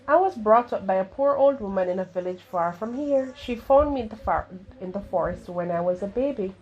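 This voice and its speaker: A woman's voice: medium pitch, lively intonation, a little fast, dull audio, lightly acted. A woman reading the part of a polite, earnest young princess in a children's storybook. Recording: clearly noisy home recording.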